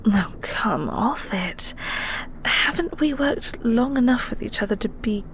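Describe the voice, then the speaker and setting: A woman playing a flirty, awkward vampire co-worker in an intimate audio roleplay, soft and breathy, with a hesitant, polite, coy manner. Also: fairly high pitch, expressive, unhurried, whispered, poor audio.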